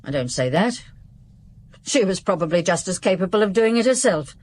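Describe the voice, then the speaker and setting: An actress playing a guarded former servant questioned by the police in a radio drama, dry and evasive, then blunt. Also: female voice, fairly low pitch, animated, moderate pace, a little mellow. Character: guarded, sharp-tongued woman, former servant witness. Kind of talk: 1950s-set radio drama acting.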